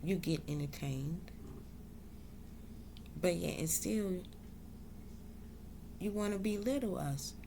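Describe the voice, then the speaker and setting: Woman vlogger, low gravelly voice, unhurried and reflective. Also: noisy background. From South Carolina, US. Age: adult. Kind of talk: slow reflective monologue.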